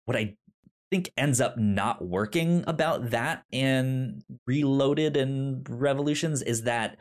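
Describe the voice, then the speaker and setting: A man's voice: mid-range voice, slow. A man co-hosting a casual film podcast over a remote call, stammering and restarting his sentences often. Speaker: podcast co-host.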